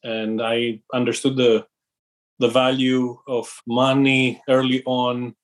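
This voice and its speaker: Middle-aged man from Greece, founder and guest, measured and reflective delivery with fillers. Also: mid-range voice, little pitch movement. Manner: thoughtful, measured, with fillers and restarts. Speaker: company founder and CEO, guest. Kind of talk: interview conversation.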